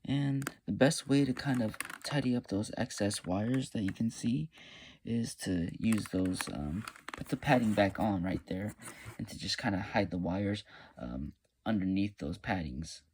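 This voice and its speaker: A man casually talking viewers through a hands-on gear install, relaxed and hesitant with frequent fillers. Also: fairly low pitch, a little slow, slight background noise. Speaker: YouTube motorcycle gear reviewer. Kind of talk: casual step-by-step install walkthrough.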